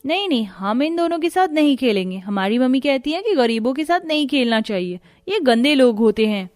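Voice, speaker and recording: A woman's voice: high-pitched, big pitch swings, fairly quick, darkish tone. A woman voicing a rude, spoiled child in a Hindi cartoon moral story. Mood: snooty. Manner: bratty, insolent. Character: rude, spoiled rich child, defiant and snooty. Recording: music behind the voice.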